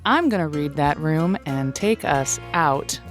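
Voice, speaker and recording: A woman's voice: low voice, animated, a little slow, soft background music. A woman playing a playful, teasing radio advice-show host who rambles and hesitates, in a scripted audio drama.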